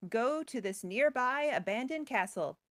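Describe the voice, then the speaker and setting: A woman's voice: on the high side, animated, a little slow, a bit bright, dry recording. A woman voicing a breezy, slangy female weaver who is a giant spider, in a storybook retelling. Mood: breezy, coaxing. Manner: casual, slangy, nudging.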